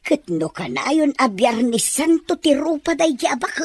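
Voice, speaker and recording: A woman's voice: sits high, very animated, measured pace, husky, no echo, full character voice. An actress playing an older female household servant in a radio drama, kindly, teasing and given to grumbling. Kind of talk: Filipino radio drama acting.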